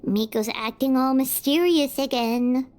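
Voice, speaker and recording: An actress voicing a tiny, nosy, chirpy fairy girl companion in an anime video game, squeaky and childlike. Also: a little slow, darkish tone. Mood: curious, puzzled. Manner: squeaky, childlike, refers to herself by name.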